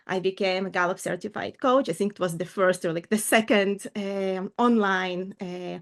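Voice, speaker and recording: A woman's voice: medium pitch, fairly even intonation, normal speed. A middle-aged woman from Ukraine, raised in Israel, speaking as a coach in a casual video call interview, earnest and thoughtful with small fillers. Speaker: coach and guest.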